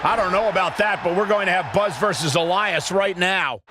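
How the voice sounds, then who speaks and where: A man playing an excitable wrestling announcer, calling the action in a video game. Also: high voice, a little fast, over a noisy crowd, natural voice. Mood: excited. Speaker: wrestling commentator. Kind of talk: excited sports commentary.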